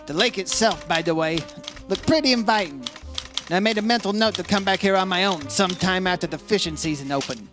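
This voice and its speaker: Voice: mid-range voice, brisk, music in the background, close to an everyday voice. An actor playing an unflappable insurance investigator, narrating a case in old radio detective style, wry and matter-of-fact. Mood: dry narration. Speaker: narrator and lead character.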